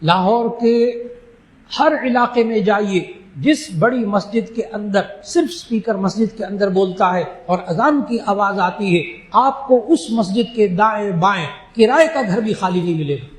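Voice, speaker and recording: High-voiced scholar in an echoey room. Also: male voice. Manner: emphatic, slows to stress key phrases. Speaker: Islamic scholar. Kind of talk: religious talk answering questions.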